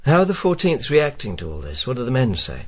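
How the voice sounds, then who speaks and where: An actor playing a wry, world-weary Roman informer in a radio drama, dry and sardonic. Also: male voice, medium pitch, huge pitch swings, quick pace, dry recording. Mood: probing, dry. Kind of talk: radio drama dialogue and first-person narration.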